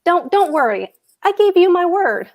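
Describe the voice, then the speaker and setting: A woman's voice: very high voice, very animated, normal speed, exaggerated voice. A woman playing a pompous, boastful German landlord and husband in a table read of a play. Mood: bossy, blustering. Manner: pompous, emphatic.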